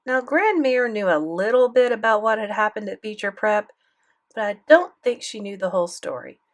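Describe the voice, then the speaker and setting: A woman's voice: mid-range voice, expressive, normal speed, close to an everyday voice. A woman voicing a defensive schoolboy who is eager for approval, telling his story in a colloquial, confiding way as audiobook narration. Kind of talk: first-person audiobook narration.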